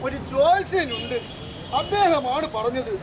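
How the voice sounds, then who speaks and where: Man playing a crafty old crane, high and mournful, street show with loud crowd and traffic. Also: expressive, unhurried. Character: scheming old male crane feigning grief. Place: outdoor street puppet show.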